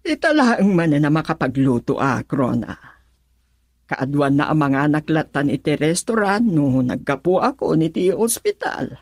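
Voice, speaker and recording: A woman playing a consoling, middle-aged mother-in-law in an Ilocano radio drama, with a husky voice. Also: low voice, sing-song, unhurried, darkish tone, dry recording, clean studio sound, heavily acted. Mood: curious.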